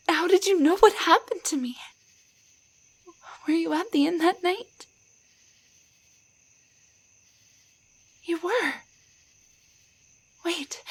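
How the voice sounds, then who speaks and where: A woman playing a timid young waitress shrunk into a doll, in a roleplay audio drama, speaking in a halting, stammering way. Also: very high voice. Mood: surprised.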